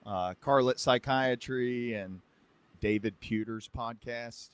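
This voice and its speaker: A man's voice: medium pitch, slow, clear, resonant tone, dry recording. American man, dry close podcast sound. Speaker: podcast host, psychiatric practitioner. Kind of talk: casual podcast conversation.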